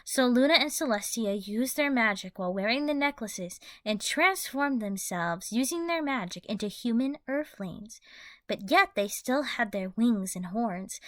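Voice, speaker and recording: Bright, animated woman storyteller. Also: a little high, no echo. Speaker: narrator of a fan fiction audio play. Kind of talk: scripted storytelling narration.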